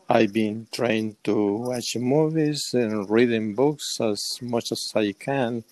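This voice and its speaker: Man, mid-sixties, Salvadoran accent, casual interview guest, medium pitch, unhurried pace, hesitant phrasing. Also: darkish tone, no echo. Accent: Salvadoran Spanish. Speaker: interview guest, semi-retired.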